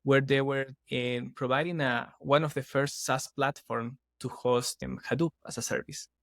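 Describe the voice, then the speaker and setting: A man's voice: mid-range voice, fairly even intonation, moderate pace, gravelly, fairly bright tone, no room sound, studio quality. A man from Chile, a guest on an interview podcast, explaining at length in an earnest, restarting way. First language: Spanish. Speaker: guest, startup CEO.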